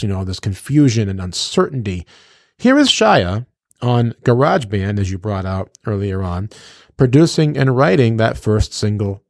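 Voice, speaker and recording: Male radio host, lower register, dry studio-tight sound, formal announcer wording laced with slang. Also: darkish tone, no echo. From United States, New England. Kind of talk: radio interview hosting.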